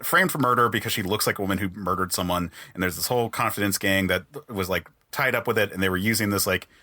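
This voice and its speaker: A man's voice: fairly low pitch, pushed and bright, no echo, clean studio sound. A man hosting a podcast, chatting casually in a rambling way full of filler words. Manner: rambling, peppered with "like". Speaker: podcast host.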